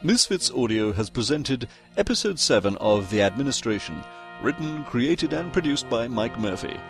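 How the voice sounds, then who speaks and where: Male announcer for audio-show credits; mid-range voice, scripted narration over a clear music bed. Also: medium pitch, big pitch swings, moderate pace.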